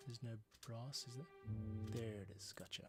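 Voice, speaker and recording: A man's voice: mid-range voice, fairly even intonation, a little slow. A man thinking aloud in a casual way as he works through a music production stream, often muttering to himself. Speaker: composer hosting a live music production stream. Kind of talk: casual think-aloud tutorial while working. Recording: music playing behind the voice. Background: score playback and computer keyboard clicks.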